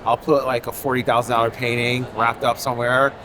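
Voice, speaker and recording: A man's voice: medium pitch, flat delivery, fairly quick, background noise. Easygoing man from Haiti, rambling chat. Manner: loose and rambling, leaning on filler phrases. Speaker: artist. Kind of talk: casual interview. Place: outdoor art fair in a city.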